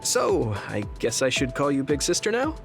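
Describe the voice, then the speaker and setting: A man's voice: mid-range voice, very animated, moderate pace, vocal fry, fairly bright tone. An actor voicing an earnest, kind, easygoing young man soldier in a video game, speaking in a friendly, sincere way. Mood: curious, good-natured. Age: young adult. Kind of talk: video game voice acting, story cutscene dialogue. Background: background music.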